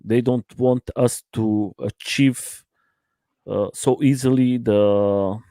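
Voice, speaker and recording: Hesitant man from Portugal, halting English. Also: medium pitch, fairly even intonation, warm tone, no echo, clean studio sound. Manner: halting, searching for words, many fillers. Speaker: guest. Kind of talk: casual live stream chat.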